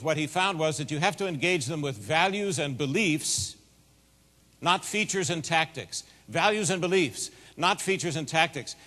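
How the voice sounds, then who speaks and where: A middle-aged man giving a keynote speech, telling a folksy, animated story in a big voice. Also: a little high. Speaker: keynote speaker. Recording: long hall reverb.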